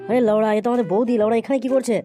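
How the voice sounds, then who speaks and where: A woman's voice: on the high side, steady tone, very fast, warm tone, faint music behind, natural voice. A woman voicing a brash, foul-mouthed, exclamatory young man in an amateur comedy cartoon. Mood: shocked, urgent. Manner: sweary, exclamatory, mocking.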